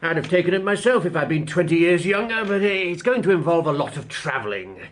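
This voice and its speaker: Older gentleman lawyer, period radio drama, high swooping voice, husky, hearty and brisk. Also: animated. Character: brisk, hearty elderly male London lawyer uncle. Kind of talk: period audio drama acting.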